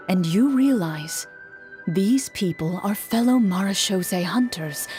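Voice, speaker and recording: A woman playing a game master who narrates a fantasy adventure in a measured, theatrical storytelling manner. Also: medium pitch, a little mellow, natural voice. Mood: calm, mysterious.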